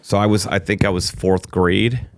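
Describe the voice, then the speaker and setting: A middle-aged man speaks in a low, smooth, resonant voice with a mixed East Coast and Midwest American accent. He tells long personal stories in a rambling way, full of ums and restarts. The recording is dry and close. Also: steady tone, moderate pace, no echo. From Chicago area, USA. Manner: rambling, self-interrupting, with frequent ums and restarts. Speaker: interview guest. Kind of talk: candid storytelling conversation.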